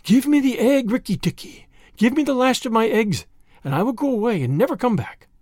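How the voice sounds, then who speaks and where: Narrator acting a menacing female cobra, lively pitch. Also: husky, no echo, clean studio sound, full character voice. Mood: urgent, pleading. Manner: imperious, threatening, deliberate. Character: menacing, vengeful female cobra, hissing threats.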